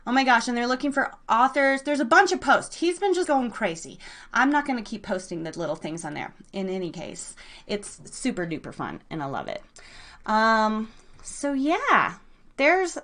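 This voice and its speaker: An excitable, bubbly woman chatting casually and slangily as she hosts a live internet radio show. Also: a little high. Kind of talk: casual rambling livestream chat with an online audience.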